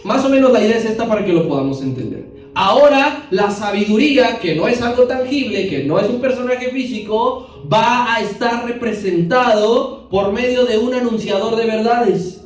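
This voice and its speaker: A man's voice: very high voice, normal speed, faint music behind. A man giving a Bible class in a church hall, explaining verses step by step and putting questions to his listeners. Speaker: bible teacher. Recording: very echoey hall, voice from a distance.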